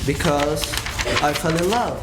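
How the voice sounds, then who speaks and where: A man plays a good-natured young Victorian nephew in a stage reading. The voice is high and earnest, heard in an echoey room with faint noise. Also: unhurried, natural voice. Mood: earnest, pleading. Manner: earnest, pleading. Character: Scrooge's nephew, earnest, cheerful, warm-hearted younger gentleman.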